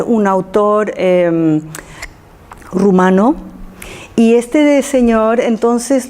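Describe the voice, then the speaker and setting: A woman who is not a native speaker, giving an informal public talk and often halting to search for words. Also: fairly high pitch, warm tone. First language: not Spanish.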